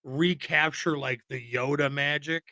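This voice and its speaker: Bright, higher-voiced man chatting about films. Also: fairly even intonation, measured pace, no echo, clean studio sound. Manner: rambling, informal, opinionated. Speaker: podcast co-host. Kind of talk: casual film chat.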